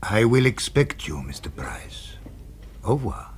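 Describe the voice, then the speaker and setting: Smooth formal restaurant owner guy, lowish voice, old radio play with noise behind. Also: very animated. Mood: courteous, smooth. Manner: formal, measured, ingratiating speech. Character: suave, courteous male restaurateur, commanding with staff. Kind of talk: 1970s radio drama acting. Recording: noisy 1970s radio drama recording.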